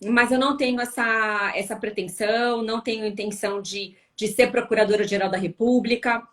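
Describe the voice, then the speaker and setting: Brief: Brazilian woman host, tired, chatty live-stream talk, slightly high voice. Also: fairly even intonation, a little fast, a bit bright. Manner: chatty, frequent tag questions. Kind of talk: casual live-stream chat with viewers.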